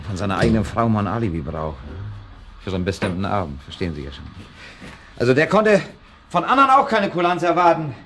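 An actor playing a worldly, condescending club man in a radio drama, rambling on and breaking off to hush his dog. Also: medium pitch, slight background noise. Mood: glib, gossipy. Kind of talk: naturalistic German radio drama acting.

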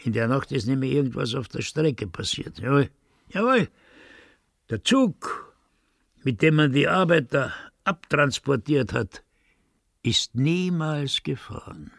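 An actor playing a wary, resigned village witness, confiding in a halting, deliberate way in a radio play. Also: male voice, medium pitch, very animated, a bit bright, no room sound, studio quality, exaggerated voice. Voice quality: creaky, gravelly.

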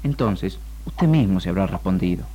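An actor with an Argentine accent playing a patient, measured psychoanalyst in a 1960s radio drama, calm and assured. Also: male voice, low-pitched, husky, dull audio. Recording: faint noise of old radio recording.

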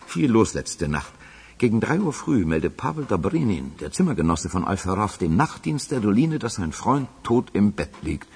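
A man's voice: on the low side, big pitch swings, moderate pace, vocal fry, breathy edge, heavily acted. An actor playing a dutiful male underling in a German radio crime drama, reporting to his boss in a matter-of-fact, deferential way. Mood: factual.

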